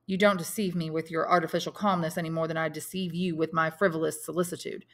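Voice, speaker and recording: A woman voicing a calm, resolute older Count from a storybook, speaking in a measured, firm, authoritative manner. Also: low-pitched. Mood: grave insistence.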